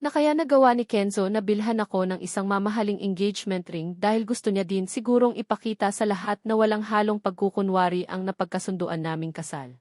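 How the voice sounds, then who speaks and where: A woman's voice: medium pitch, brisk, darkish tone, no room sound. Filipino woman narrating a romance, dry close sound. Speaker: audiobook narrator. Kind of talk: scripted first-person romance novel narration.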